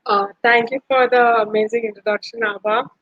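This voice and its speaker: A woman's voice: on the high side, steady tone, fairly quick, a bit bright, no echo, muffled recording. A woman from India, a Gujarati speaker, answering earnestly on a panel with hesitant fillers. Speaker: panelist and translator.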